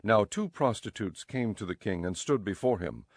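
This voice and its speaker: A man narrating an audio Bible in a measured, formal storytelling style. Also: on the low side, animated, husky, dry recording, studio quality.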